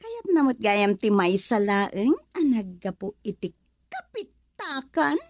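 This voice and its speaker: A woman playing a mocking, scornful character in an exaggerated radio melodrama, taunting with drawn-out words. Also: mid-range voice, big pitch swings, dry recording, exaggerated voice.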